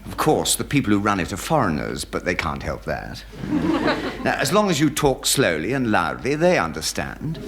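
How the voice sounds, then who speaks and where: An English actor playing a bumbling, hesitant young naval officer in a radio sitcom, on a noisy old recording. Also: male voice, lowish voice, wildly swooping pitch, moderate pace. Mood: chatty, oblivious. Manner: hesitant, flustered, silly, stammering restarts. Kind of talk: British radio sitcom acting before an audience.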